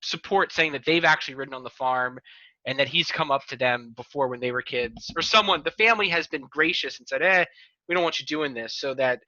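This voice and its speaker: A man's voice: medium pitch, fairly quick, bright, forceful tone, no echo, lo-fi sound. A man hosting a podcast in casual chat over a video call, rambling and joking in half-finished sentences. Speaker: podcast host.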